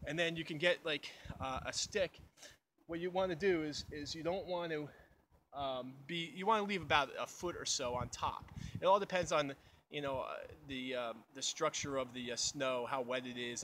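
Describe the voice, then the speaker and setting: A young man crouches in snowy woods and talks through survival tips in an easygoing, unscripted way, full of "um" and "you know." His voice sits at an ordinary pitch and is picked up by a camera a few meters away. Faint outdoor ambience hums behind him. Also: a bit bright, a little hiss or hum. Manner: casual and rambling, many "um" and "you know". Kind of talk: unscripted how-to explanation. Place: outdoors in snowy woods beside a snow cave.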